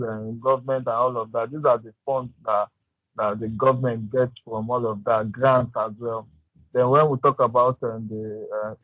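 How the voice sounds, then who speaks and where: A man answering a question in an online class, halting and full of fillers. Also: mid-range voice, no echo. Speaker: student. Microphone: phone or video call. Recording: dry, tinny phone-like line.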